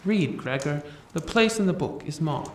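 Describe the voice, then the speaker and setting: A woman's voice: low voice, animated, moderate pace, darkish tone. A woman playing a stately old abbess and grandmother in an audio drama, speaking formally and with command. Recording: echoey, distant mic, faint noise.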